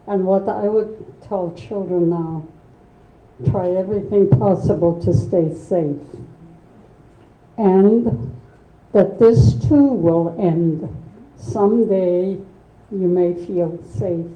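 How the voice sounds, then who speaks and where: Older woman, native Low German speaker, author guest; slow, earnest delivery; dull roomy room sound. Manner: slow, earnest, soft-spoken. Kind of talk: reflective conversation at a book event. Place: bookstore event space.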